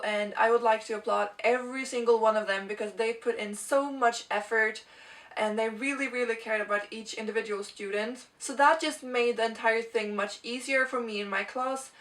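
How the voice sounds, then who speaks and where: A woman's voice: fairly high pitch, fairly even intonation, moderate pace, a bit bright, far from the mic. A young woman from Sweden, a native Swedish speaker, chatting earnestly to camera and sharing advice in a casual vlog. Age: young adult. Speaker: vlogger.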